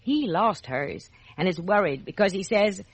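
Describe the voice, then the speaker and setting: A woman's voice: medium pitch, lively intonation, moderate pace, slightly rough. An American woman hosting a scripted 1940s children's radio show, addressing children like a storyteller, on an old radio recording.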